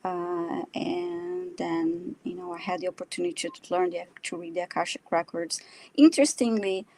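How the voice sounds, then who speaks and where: A woman from Brazil, a native Portuguese speaker with a Brazilian accent, chatting casually about herself in a halting, self-deprecating way. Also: on the low side, steady tone, a little mellow, a little hiss or hum. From São Paulo, Brazil. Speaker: guest, animal communicator and energy healer. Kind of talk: casual personal storytelling in a live chat.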